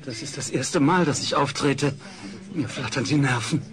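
A timid fat rookie comedian, played by a man in an audio drama, anxious and medium in pitch, with a clear crowd behind him. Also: moderate pace, close to an everyday voice. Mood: nervous. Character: nervous fat sweaty novice male comedian.

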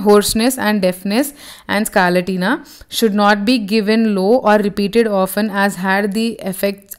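A woman's voice: medium pitch, clear, resonant tone. A woman teaching homeopathy, reading short passages aloud and then explaining them in her own words, with frequent fillers and self-corrections. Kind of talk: reading and explaining a reference text.

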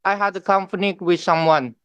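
A man's voice: high voice, steady tone, moderate pace, fairly bright tone, smooth, no room sound, muffled recording. A man from China, a native Mandarin speaker, testifying politely and hesitantly in English at a hearing. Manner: polite, hesitant, says "Your Honor" often. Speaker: witness, interpreter. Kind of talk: testimony under questioning.

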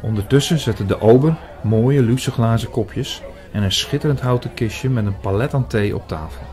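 A man playing an earnest, shy priest who narrates an audio drama in a measured, reflective way, hesitant in dialogue. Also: medium pitch, a little mellow, close to an everyday voice.

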